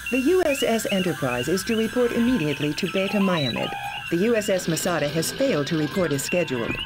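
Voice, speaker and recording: Casting: woman as an efficient, formal space fleet officer reading out orders in a game, lower register. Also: expressive, fairly quick, close to an everyday voice. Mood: businesslike. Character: efficient female starship communications officer. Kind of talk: video game voice acting. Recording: game audio under music.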